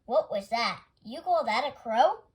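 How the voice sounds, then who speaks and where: A woman's voice: on the high side, expressive, unhurried. A woman voicing disdainful, exclamatory farm animals in a storybook, full of grumbles. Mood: disgusted. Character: scornful, grumbling farm animals idolising their old rooster.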